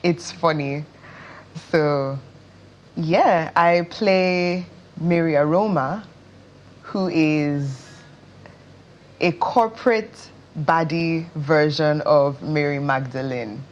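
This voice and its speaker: A woman's voice: low-pitched, extremely slow, lo-fi sound. A woman with a Nigerian accent speaking casually as an actress being interviewed on television. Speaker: interview guest, stage actress.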